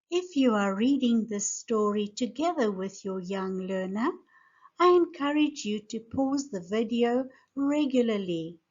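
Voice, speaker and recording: A woman teacher giving a scripted lesson and narrating a storybook in a deliberate, simple way for young learners. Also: a little high, darkish tone, muffled recording. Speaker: English teacher reading a children's story.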